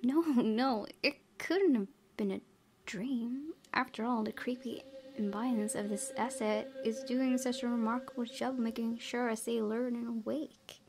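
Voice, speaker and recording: A woman's voice: a little high, steady tone, measured pace. A woman doing a let's play, reading visual novel text aloud expressively with spontaneous, giggly asides. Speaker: gaming video host. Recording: noticeable background noise and ambience.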